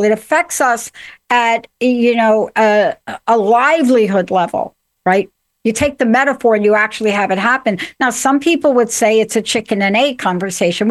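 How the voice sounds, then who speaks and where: A woman hosting a casual interview, her voice somewhat high. She talks briskly and steers the chat with questions. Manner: brisk, conversational, asks questions. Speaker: podcast host.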